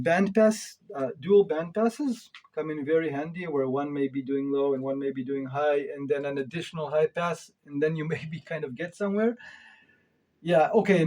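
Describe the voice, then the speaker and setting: Higher-pitched man, casual gear reviewer. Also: a little mellow. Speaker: reviewer of modular synth gear.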